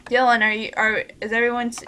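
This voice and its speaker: Female livestream host, bright and forceful, informal and bossy, on a slightly noisy voice call. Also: on the high side, level tone, fast. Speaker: host and leader of an amateur radio play group. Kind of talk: casual livestream chat. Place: online voice call.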